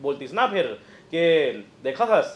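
Male political commentator, 30s, Chhattisgarhi accent, higher voice, halting explanations, roomy studio. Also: animated. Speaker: news presenter and political analyst. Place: indoor news studio, roomy sound. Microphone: lapel mic.

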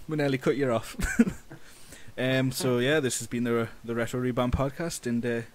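A man hosting a podcast interview in an informal, halting way, putting questions to his guest. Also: medium pitch, steady tone. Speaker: podcast host. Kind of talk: casual interview, reading fan questions.

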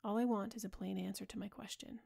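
A woman reading the part of an older male painter, speaking gravely and earnestly as he pleads with his friend. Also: mid-range voice, fairly even intonation, fast talker, dark tone. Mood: weary.

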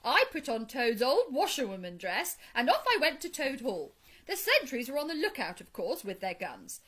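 A woman's voice: high voice, wildly swooping pitch, moderate pace, a bit bright, full character voice. A woman voicing a cheeky, eager male mole in a storybook, exclamatory and pleased with himself. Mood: smug, gleeful.

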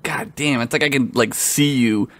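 A man's voice: mid-range voice, normal speed, a bit bright, no room sound. A man co-hosting a comedy podcast with casual, agreeable, joking banter. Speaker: podcast co-host.

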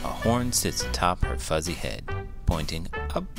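A man narrating a children's story as read-aloud host, expressive and deliberate. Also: low-pitched, gravelly, over music.

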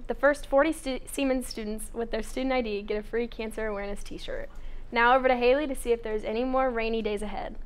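High-voiced teenage female news anchor. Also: steady tone, fast, background noise. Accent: American. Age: teens. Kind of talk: scripted news announcements. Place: indoor school studio.

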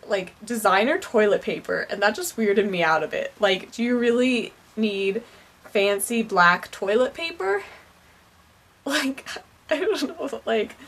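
A woman's voice: fairly high pitch, fairly bright tone. A teenage girl vlogging, rambling and self-conscious, with lots of fillers and giggles. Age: teens. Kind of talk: casual chat. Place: car while driving, then a bedroom.

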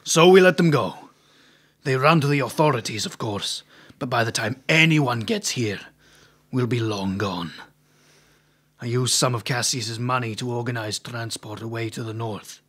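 A scheming half-bear man acted by a man in an audio drama. His voice is husky and mid-pitched. He talks in measured, conspiratorial phrases, sly and calculating. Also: fairly bright tone.

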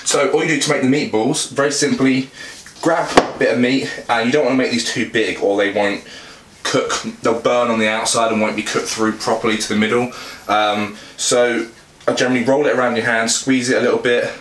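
A man's voice: mid-range voice, fairly even intonation, a little fast, fairly bright tone. A young man with a British accent casually explains cooking to camera in an informal, plain-spoken way as a fitness vlogger. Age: young adult. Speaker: fitness vlogger and meal prep host. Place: small home kitchen, indoors. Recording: echoey kitchen, clear background noise.

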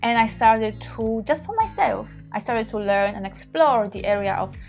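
A woman's voice: fairly high pitch, animated, moderate pace, gravelly. Woman in her 30s, Polish accent, open chatty to-camera talk, faint music under. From Poland. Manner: warm, open, laughs often, gestures while talking. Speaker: sex therapist, channel host. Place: small bedroom, indoors.

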